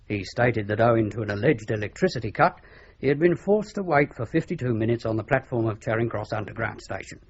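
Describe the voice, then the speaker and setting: A man's voice: mid-range voice, lively intonation, brisk, slightly rough. A man playing a stiff, pedantic British policeman formally reciting his report in a radio drama. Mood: stiff, official. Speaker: police officer. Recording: slightly dull radio drama recording.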